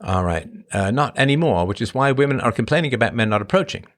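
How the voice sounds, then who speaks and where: A man's voice: lively intonation, quick pace. A middle-aged man from Ireland and England, rambling casually as a host answering his live chat. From born in Ireland, grew up in England. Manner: rambling, conversational, emphatic swings in pitch. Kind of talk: casual monologue.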